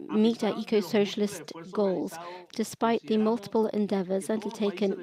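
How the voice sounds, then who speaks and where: A woman's voice: medium pitch, low-quality recording. A woman delegate delivering a formal prepared speech, reading from text. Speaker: government delegate.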